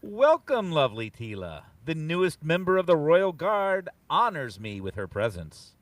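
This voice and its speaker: A man's voice: fairly high pitch, big pitch swings, moderate pace, no room sound. Man playing a haughty playboy prince, distant mic. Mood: teasing, grand. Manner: grand, flowery courtly phrasing. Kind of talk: dramatic comic book table read.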